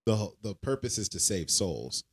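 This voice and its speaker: A man with a deep, low voice talks in a relaxed podcast chat. He speaks earnestly and a little preachy, circling back and restarting phrases as he builds to his point. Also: normal speed, crowd noise. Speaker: podcast co-host. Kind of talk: casual faith chat.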